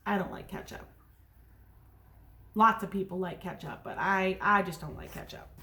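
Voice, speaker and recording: A woman's voice: sits high, a bit bright. A woman teacher reading a story aloud to young children, with simple, instructive asides.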